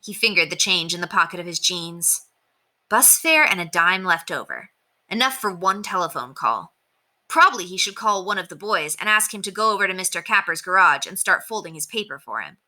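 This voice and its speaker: A woman's voice: fairly low pitch, animated, a little fast, sharp tone. A woman reading a children's chapter book aloud as a storyteller, in scripted narration.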